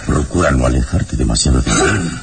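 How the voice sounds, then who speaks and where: A serene, daring hero speaks in a very deep voice with lively, expressive intonation. His delivery is measured and commanding, on an old radio recording with audible hiss. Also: vocal fry, heavily acted. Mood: calm, firm. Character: serene, heroic man, calm and daring. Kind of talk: 1960s radio drama acting.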